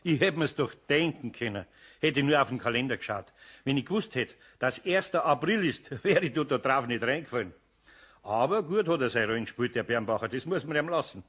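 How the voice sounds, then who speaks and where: Husky, homely Bavarian craftsman. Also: medium pitch, lively intonation, fairly quick, fairly bright tone. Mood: rueful. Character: good-natured, grumbling older craftsman, patient fatherly friend. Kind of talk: German radio play acting.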